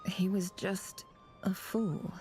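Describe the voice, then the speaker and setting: A woman playing a sly, guarded, cynical intelligence operative in a video game, measured, wry and knowing. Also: medium pitch, fairly even intonation, warm tone, soft background music, natural voice. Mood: brooding. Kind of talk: dramatic video game voice acting.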